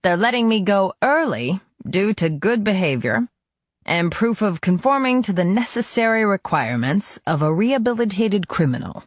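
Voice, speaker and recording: A man's voice: high voice, big pitch swings, normal speed, no echo, muffled recording, pitched above the performer's own voice. A man voicing a jovial, sprightly older male convict with an upbeat, chatty manner. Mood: pleased.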